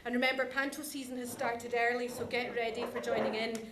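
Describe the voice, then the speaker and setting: High-voiced woman presenting in a roomy hall. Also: little pitch movement, a little fast. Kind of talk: conference presentation. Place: large conference room. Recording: roomy, clearly noisy conference hall recording.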